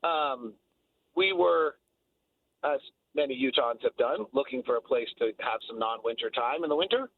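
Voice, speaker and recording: A man's voice: mid-range voice, animated, normal speed, creaky, dry recording. An American man, a sports broadcaster guesting on radio, chatting casually in an effusive, rambling way. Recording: thin, phone-like line.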